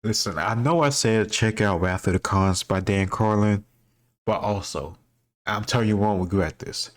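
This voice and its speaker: A man's voice: lowish voice, normal speed. A man speaking informally as a host, reacting casually and thinking aloud as he answers quiz questions.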